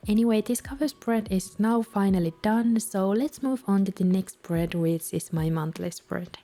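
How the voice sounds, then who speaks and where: Soft-spoken Finnish-accented woman. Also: mid-range voice, moderate pace. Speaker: bullet journal vlogger. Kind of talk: calm voice-over narration.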